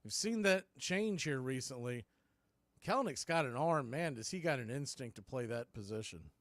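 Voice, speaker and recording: A man's voice: medium pitch, expressive, normal speed, fairly bright tone, dry recording. A man hosting live baseball play-by-play, giving punchy calls and folksy, opinionated commentary. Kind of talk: live radio sports commentary.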